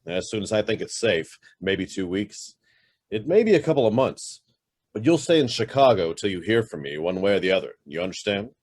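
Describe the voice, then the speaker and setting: A man's voice: fairly low pitch, sing-song, a little fast, darkish tone, breathy edge, no room sound. A man playing a curt, wary fugitive master who gives his valet clipped orders in a radio play. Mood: curt, guarded. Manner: clipped, commanding questions. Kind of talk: amateur radio play acting.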